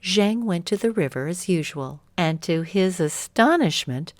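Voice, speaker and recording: A woman's voice: on the low side, animated, unhurried, dry recording. A woman reading a scripted bedtime story as narrator.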